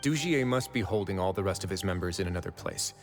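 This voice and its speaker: A man playing a composed, shrewd duke of a prison fortress in an anime-style video game, speaking in a measured, deliberate way. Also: sits low, expressive. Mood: pondering. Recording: music playing behind the voice.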